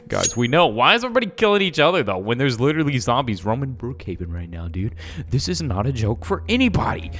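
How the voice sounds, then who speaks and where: A man's voice: mid-range voice, big pitch swings, a little fast, fairly bright tone. A young man giving casual gameplay commentary in a slangy, jokey, rambling way. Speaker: gaming YouTuber.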